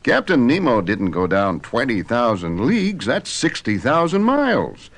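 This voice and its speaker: A man's voice: mid-range voice, huge pitch swings, unhurried, a bit bright, exaggerated voice. An actor playing a genial, confident scientist father, assured and fatherly, in a cartoon adventure audio drama. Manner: assured, fatherly, clearly enunciated.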